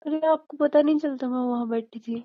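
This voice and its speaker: A woman's voice: high-pitched, steady tone, a little fast, no echo, natural voice. A woman playing a coy, questioning wife who banters and mildly protests in a casual at-home conversation. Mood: puzzled, protesting. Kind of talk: intimate Hindi role-played couple conversation. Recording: muffled, lo-fi, dry call-style recording.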